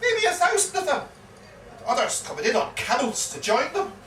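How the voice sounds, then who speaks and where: Man acting animated, bright-voiced Irish old soldier spinning yarns. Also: extremely high pitch, moderate pace, heavily acted. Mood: dramatic, boastful. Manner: theatrical, dramatic storytelling with repeated phrases. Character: garrulous male old soldier spinning a desert war yarn. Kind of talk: live stage performance of a comic one-act play. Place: live performance in a pub. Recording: echoey, distant live pub recording, faint noise.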